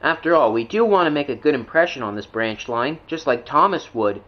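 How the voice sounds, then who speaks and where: A man voicing a calm, sensible tram engine in a children's train story, measured and reasonable. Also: mid-range voice, dull audio, natural voice.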